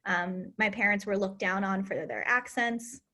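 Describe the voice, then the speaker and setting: A woman's voice: mid-range voice, fairly even intonation, normal speed, sharp tone. A woman, an educator hosting a casual discussion, speaking earnestly and emphatically.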